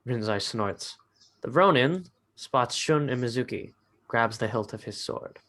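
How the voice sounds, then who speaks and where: A man's voice: mid-range voice, lively intonation, measured pace, a bit bright. A man reading stage directions as the narrator of a scripted drama.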